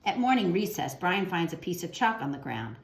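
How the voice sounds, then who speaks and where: A woman with a slightly low voice reads a picture book aloud for children. Her phrasing is clear and expressive, as in storytime. The sound is roomy, with noticeable echo around the voice. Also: a little fast.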